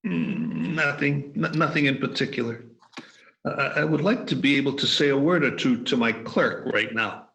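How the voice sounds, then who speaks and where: A man voicing a remorseful old miser, halting and hesitant, in an amateur radio play read over a video call. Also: medium pitch, animated, a bit bright, lo-fi sound. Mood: wistful.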